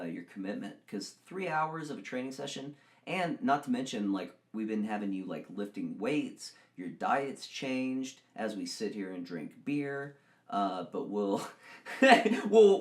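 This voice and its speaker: A young man from Texas with a somewhat low voice talks in a loose, rambling way. He restarts his sentences often and mocks himself while he chats. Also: very animated, a little slow. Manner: rambling, self-mocking, lots of restarts. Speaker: podcast co-host and actor. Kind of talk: casual chat.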